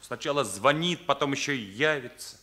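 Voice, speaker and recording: An earnest teenage son, bright forceful voice. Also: male voice, medium pitch, animated, normal speed. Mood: bitter, accusing. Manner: accusing, emphatic, morally rigid. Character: earnest, indignant teenage son confronting his parents. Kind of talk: Soviet radio play acting.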